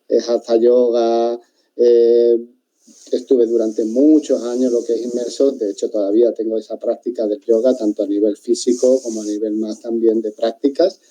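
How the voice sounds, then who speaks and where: Andalusian guy on a video call, super dark mellow voice, rambling with lots of restarts. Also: medium pitch, low-quality recording. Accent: Andalusian Spanish. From Spain. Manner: rambling, restarts often, thoughtful. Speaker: interview guest, martial arts teacher. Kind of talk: casual interview chat.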